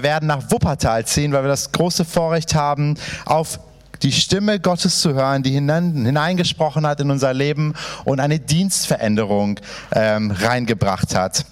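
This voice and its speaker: A passionate preacher, a man in his thirties born in Iran, talks to his church in a chatty, direct way. His voice sits a little high for a man, and he turns often to the listeners before him. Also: moderate pace. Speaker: pastor. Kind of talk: sermon introduction.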